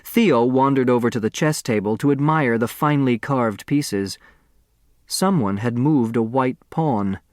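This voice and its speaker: Guy reading a kids mystery audiobook, measured storyteller style, mid pitch, really dry sound. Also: a little mellow, dry recording. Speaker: audiobook narrator. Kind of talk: scripted narration of a children's mystery novel.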